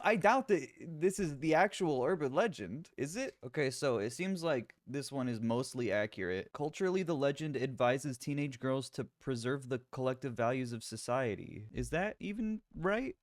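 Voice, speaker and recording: A young man reacting to horror clips in comedic commentary, animated and incredulous, often laughing and swearing. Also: medium pitch, normal speed, hardly any pauses, no echo, studio quality.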